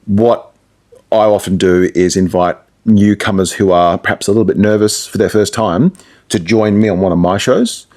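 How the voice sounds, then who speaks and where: A man's voice: fairly low pitch, normal speed, darkish tone. A man talking casually in an interview as a radio presenter, explaining things through anecdotes and asides.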